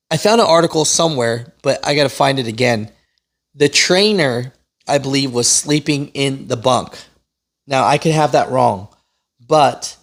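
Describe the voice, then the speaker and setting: A man hosting a news channel, reading and explaining in a casual, opinionated, conversational way. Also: medium pitch. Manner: conversational, opinionated, often checks in with "right?" and "you know". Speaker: host of a trucking news channel.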